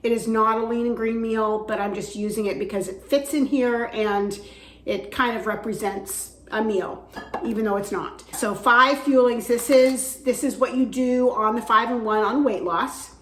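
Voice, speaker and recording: A woman's voice: a little high, fairly even intonation, moderate pace, fairly bright tone, slight background noise. A woman vlogging about her weight-loss journey, chatty with frequent fillers, her voice weak from recovering from the flu. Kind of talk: casual instructional vlog. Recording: echoey room.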